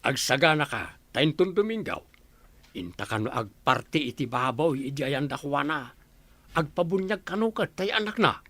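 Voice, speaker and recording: An actor with an Ilocano accent playing a scheming village husband and animal thief in a comedy radio drama. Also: male voice, a little high, lively intonation, normal speed, pushed and bright, no room sound, full character voice. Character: scheming village husband who steals animals for drinking food.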